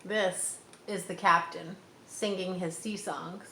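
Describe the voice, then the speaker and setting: Bright-voiced woman reading aloud in echoey room. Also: lowish voice, fairly even intonation, slow. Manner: explanatory, simple wording for children. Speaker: storyteller reading a children's chapter book aloud. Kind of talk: read-aloud narration with plain asides to young listeners. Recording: roomy echo, faint background noise.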